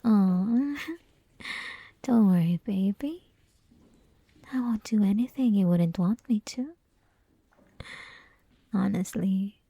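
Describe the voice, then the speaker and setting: A woman's voice: mid-range voice, dark tone, no room sound, heavily acted. A woman playing a flirty, possessive college classmate who teases the listener in an intimate, mostly whispered ASMR roleplay. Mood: playful teasing. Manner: teasing, breathy, mostly whispered, giggly.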